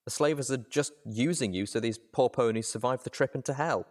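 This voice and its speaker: A man's voice: medium pitch, a little fast, pitched above the performer's own voice, close to an everyday voice. A man voicing an impassioned young female pony in an audiobook-style story, exclaiming and pleading with strong emotion. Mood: pleading, insistent.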